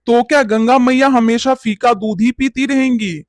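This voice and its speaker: High-pitched male actor as a village priest, greedy, glib, scolding, cartoon storytelling, dry close recording. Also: normal speed, dry recording. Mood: cajoling. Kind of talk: animated bedtime story character acting.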